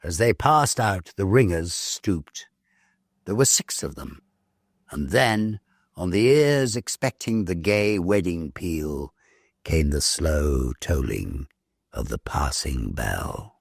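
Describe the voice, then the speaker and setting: A man reading a ghost story as dramatic narration, theatrical, measured and full of suspense. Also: low voice, expressive, creaky, slightly rough, dry recording, studio quality.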